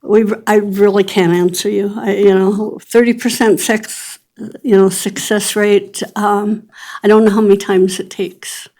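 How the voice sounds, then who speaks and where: A woman speaking at a city board meeting, earnest and plain-spoken. Her pitch stays level and even as she explains things, with little rise or fall. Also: a little slow, rough recording. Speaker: treatment center presenter. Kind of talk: public meeting testimony. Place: government board meeting chamber.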